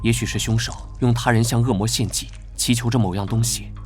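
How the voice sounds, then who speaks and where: An actor playing a serious, easily exasperated young male investigator in an animated drama, blunt and no-nonsense. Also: mid-range voice, a little fast, faint music behind, natural voice. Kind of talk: Chinese animated drama dubbing.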